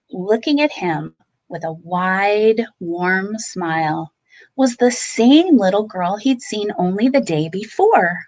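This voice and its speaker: A woman reads a bedtime picture book to children at an unhurried pace. Her medium-pitched voice moves widely up and down, with dramatic pauses and emphasis on key words. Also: low-quality recording. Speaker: storyteller.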